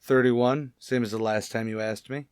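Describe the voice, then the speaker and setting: A man's voice: medium pitch, steady tone, moderate pace, darkish tone, smooth, no echo, studio quality, lightly acted. A man playing a cocky, defensive salesman under arrest in a radio crime drama, wisecracking and sneering. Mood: glib. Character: cocky, defensive thirty-one-year-old clothing salesman under arrest. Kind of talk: old-time radio crime drama acting.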